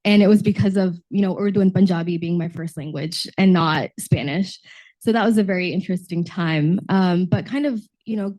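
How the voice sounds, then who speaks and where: A woman's voice: lowish voice, brisk, a little mellow. Young woman with a cold. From Texas, United States. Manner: earnest, storytelling with frequent fillers. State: slightly sick from a cold. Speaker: junior diplomat panelist. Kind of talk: panel discussion.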